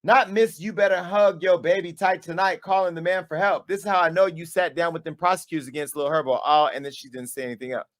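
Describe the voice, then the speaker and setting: A man hosting his own show, delivering a dramatic callout monologue with sass, swinging between hushed asides and loud, punchy bursts. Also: fairly high pitch, steady tone, fast, few pauses. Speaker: media company owner and host.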